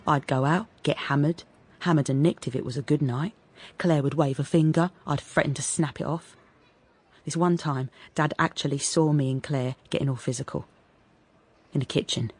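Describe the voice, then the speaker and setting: A woman's voice: low-pitched, fairly even intonation, moderate pace, slightly rough. An actress playing a brash, combative young woman with a London accent, cheeky, blunt and streetwise, in a radio drama. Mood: defiant, reflective. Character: brash, combative, teasing working-class young woman, ex-soldier. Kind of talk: radio drama monologue and dialogue.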